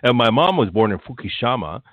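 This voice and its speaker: A man's voice: mid-range voice, big pitch swings, fairly quick. A man interviewing a guest in a casual, rambling way, restarting his questions often. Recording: tinny phone-like line.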